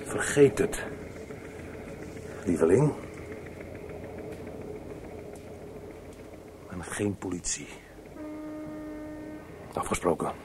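Nervous male lover for radio play: animated pitch, hushed pleading, evasive; noisy old recording. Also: slow pace, full character voice. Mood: pleading, coaxing. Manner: hushed, pleading, coaxing, agitated. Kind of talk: Dutch radio play acting.

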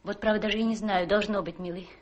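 An actress playing a gentle, anxious, devoted young wife in a radio play. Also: female voice, medium pitch, fairly even intonation, fast, muffled recording, close to an everyday voice. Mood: subdued.

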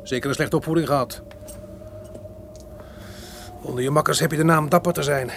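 Chatty sly soldier, higher-pitched, noisy recording. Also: male voice, steady tone, moderate pace, gravelly. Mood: nosy, needling. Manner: chatty, boastful, stammering over slips. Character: talkative, sly, nervous soldier in gothic castle. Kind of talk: radio play acting. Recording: noisy old radio recording.

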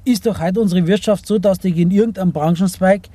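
A man talks casually about his views as a working driver. His voice sits high for a man, yet the tone is mellow and rounded. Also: a little fast, no echo. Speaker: truck driver. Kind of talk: casual opinion in an original-sound radio collage.

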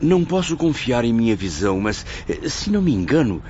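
A man's voice: medium pitch, very animated, brisk, darkish tone, lightly acted. A man acting in a radio drama as a deferential, hesitant spirit apprentice who speaks formally. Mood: astonished, doubtful. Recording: muffled lo-fi audio with faint noise.

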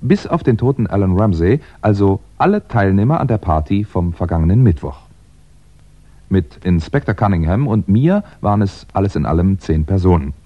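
An articulate crime writer in an old radio mystery, wry and easily exasperated. His low voice moves with lively, wide inflection, on a slightly dull vintage recording. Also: moderate pace. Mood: wry narration. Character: exasperated crime writer narrating his own wrongful arrest. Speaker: actor and narrator in a radio crime play. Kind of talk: scripted first-person narration and radio acting.

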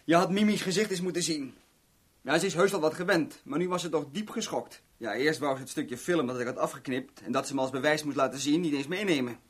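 A man's voice: on the high side, quick pace, breathy edge. An actor playing a cocky, scheming small-time crook in a 1970s Dutch radio drama, chummy and mock-friendly as he boasts and explains. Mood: self-satisfied.

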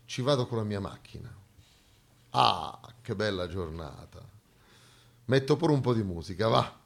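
A man's voice: on the low side, animated, unhurried, creaky. A man delivering a theatrical monologue as a stage actor, with dramatic, emphatic storytelling.

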